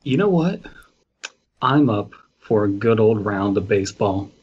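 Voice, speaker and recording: A man's voice: fairly low pitch, lively intonation, unhurried, darkish tone, husky. A man co-hosting a podcast, chatting and reading aloud in an easygoing, jokey way. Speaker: podcast co-host. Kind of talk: casual chat and reading aloud. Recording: slightly dull, lo-fi microphone.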